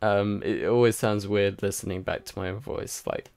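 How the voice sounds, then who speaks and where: A man's voice: fairly low pitch, steady tone, moderate pace, gravelly, darkish tone, clear, resonant tone. A tired man hosting a casual livestream, rambling and chatty with lots of fillers while answering viewer comments. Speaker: livestream host. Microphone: home microphone.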